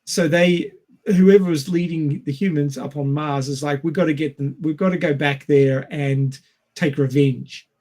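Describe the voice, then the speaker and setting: A man's voice: on the high side, steady tone, moderate pace, warm tone. A man co-hosting a casual film discussion, explaining his points and posing rhetorical questions. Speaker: podcast co-host.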